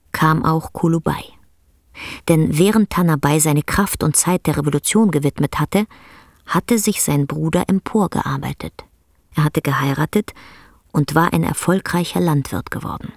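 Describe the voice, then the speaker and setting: A woman narrating a literary radio drama as a sober, measured storyteller. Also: deep voice, gravelly, no echo, studio quality. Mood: intense.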